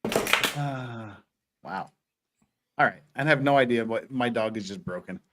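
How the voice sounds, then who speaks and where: A man's voice: mid-range voice, a little slow, very noisy. A man hosting a casual livestream, rambling and joking as he goes. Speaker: livestream host. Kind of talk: casual livestream banter and dramatic reading.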